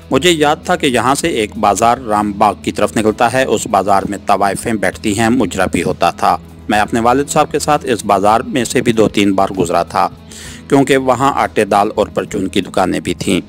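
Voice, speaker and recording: A man reading a first-person suspense novel as a scripted audiobook narration. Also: lowish voice. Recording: music under the voice. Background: background music.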